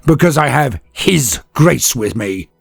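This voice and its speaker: A man's voice: mid-range voice, sing-song, a little slow, creaky, slightly rough, no room sound, faint music behind, pitched above the performer's own voice, exaggerated voice. A man voicing a grim, determined young male trooper who speaks in clipped, defiant lines.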